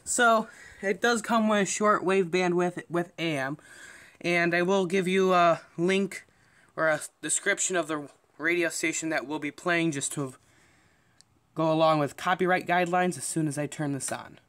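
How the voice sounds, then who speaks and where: A man's voice: high-pitched, moderate pace, fairly bright tone, smooth. A man giving a casual, unscripted show-and-tell as a vlogger, rambling along in a matter-of-fact way. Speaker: vlogger showing an antique radio.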